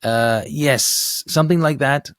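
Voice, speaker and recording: A man's voice: mid-range voice, takes it slowly, fairly bright tone, no echo, clean studio sound, pitched above the performer's own voice. A man voicing a tough, unflappable older woman secret agent in a storybook, clipped and matter-of-fact. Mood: polite, evasive.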